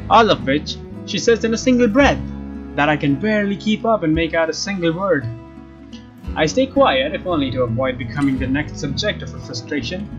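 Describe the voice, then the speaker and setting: A man narrating a visual novel as a let's player, expressive and informal, with casual asides. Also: fairly high pitch, moderate pace. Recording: dull lo-fi sound, music behind. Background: game music with guitar.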